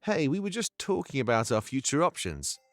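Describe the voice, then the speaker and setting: A man's voice: mid-range voice, big pitch swings, brisk, a bit bright, no room sound, faint music behind. A man voicing a persuasive, wry rebel companion in a fantasy visual novel, casual and teasing. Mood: probing, casual. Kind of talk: visual novel game voice acting.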